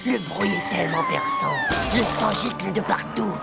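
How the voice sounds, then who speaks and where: A woman's voice: mid-range voice, wildly swooping pitch, normal speed, loud music behind the voice, full character voice. A woman voicing a hot-headed, boastful schoolboy in a cartoon, with brash, slangy, grandiose delivery. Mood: excited storytelling. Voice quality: gravelly, creaky. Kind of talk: French animated cartoon voice acting.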